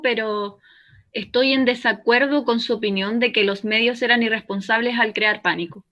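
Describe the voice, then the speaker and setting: Radio play female ex-newsreader: firm, defensive, articulate, bright tense tone, slightly lo-fi remote connection. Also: fairly high pitch. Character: defensive, earnest woman journalist and former newsreader in a bunker. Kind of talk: radio play as live call-in interview. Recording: dull, band-limited remote link.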